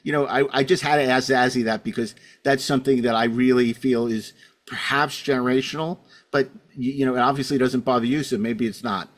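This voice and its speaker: A man's voice: mid-range voice, fairly even intonation, a little fast. A middle-aged man hosting a casual panel discussion, talking in a rambling way full of you-knows. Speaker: podcast host.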